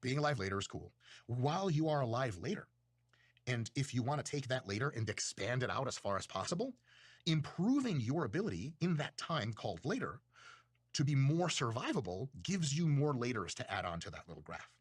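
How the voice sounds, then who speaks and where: Lively, husky man lecturing casually. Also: mid-range voice, brisk, dry recording, clean studio sound. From Russia. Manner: profane, self-mocking, rambling. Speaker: video creator.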